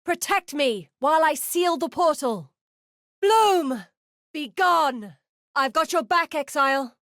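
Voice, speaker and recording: A woman's voice: sits high, animated, takes it slowly, fairly bright tone, no room sound, clean studio sound, exaggerated voice. An actress playing an earnest, curious woman scholar in a video game, articulate, thoughtful and dramatic in delivery. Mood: fierce, commanding. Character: earnest, curious woman scholar guiding an exile through memories. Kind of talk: video game character voice acting.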